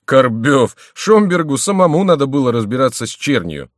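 A man's voice: mid-range voice, big pitch swings, moderate pace, no echo, studio quality, voice pushed up, natural voice. A man voicing a complaining courtier in an audiobook, indignant, exclamatory and self-pitying. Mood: aggrieved. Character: complaining male courtier, indignant and breathlessly dramatic. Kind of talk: audiobook character voice.

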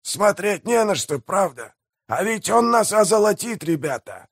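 A man's voice: high voice, very animated, unhurried, a bit bright, husky, no room sound, clean studio sound, voice pushed up, heavily acted. A man voicing a boastful, greedy pirate mayor in an audiobook, blustering and commanding in a theatrical way. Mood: gleeful, scheming.

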